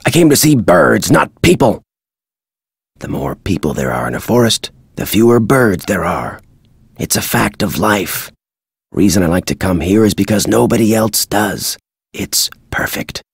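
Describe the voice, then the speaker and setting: An older American man voicing a crotchety retired birdwatcher in a video game, folksy and gruff with a husky, dry delivery. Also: on the low side, animated, moderate pace, dry recording. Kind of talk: video game character dialogue.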